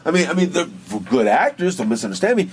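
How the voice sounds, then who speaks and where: A man chatting casually as a co-host, excitable and slangy, swearing freely as he talks. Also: a little high, fast. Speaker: podcast co-host. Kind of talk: casual chat. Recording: clear background noise.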